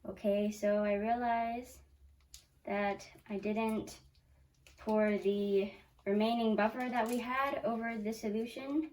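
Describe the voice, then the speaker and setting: 12-year-old girl, squeaky high voice, chatty step-by-step lab demo, set back from the mic. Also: steady tone, takes it slowly, a little mellow. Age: 12 years old. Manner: chatty, self-correcting, talks through each step. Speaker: young science channel host. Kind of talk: casual hands-on lab demo. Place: small home lab. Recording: voice from a distance off the mic.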